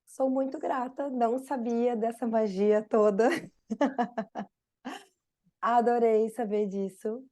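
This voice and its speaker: A woman's voice: a little high, fairly even intonation, slow pace, long pauses. A Brazilian woman with a Brazilian Portuguese accent, a podcast guest telling a story in casual conversation, full of fillers. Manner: warm storytelling with frequent fillers.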